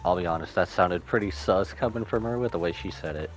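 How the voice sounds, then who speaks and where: A man's voice: sits low, fast. A man streaming a game, giving casual live commentary and reading along. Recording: game music faintly behind the voice.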